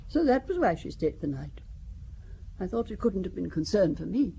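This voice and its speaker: A woman's voice: mid-range voice, big pitch swings, moderate pace, gravelly, mellow, a little hiss or hum. An older woman playing a genteel, chatty French widow in an audio drama, confiding and wistful as she reminisces. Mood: surprised. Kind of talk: audio drama acting, conversational storytelling.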